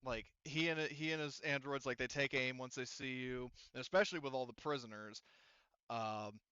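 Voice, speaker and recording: A man refereeing a casual tabletop game as game master, halting and thinking aloud as he talks. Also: medium pitch, fairly even intonation, bright, forceful tone, clean tone, no echo, muffled recording.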